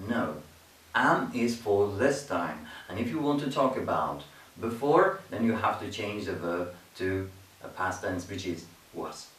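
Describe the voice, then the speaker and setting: A man's voice: fairly low pitch, a bit bright. A man teaching an English grammar lesson, explaining patiently, repeating points and often checking in with "okay?". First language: Persian. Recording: roomy, distant mic.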